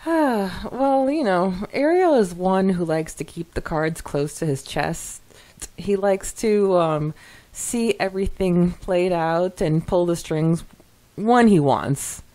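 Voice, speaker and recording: A woman co-hosting a podcast in casual, chatty style, reading comments with hesitant pauses and giggles. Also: lowish voice, mellow. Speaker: podcast co-host.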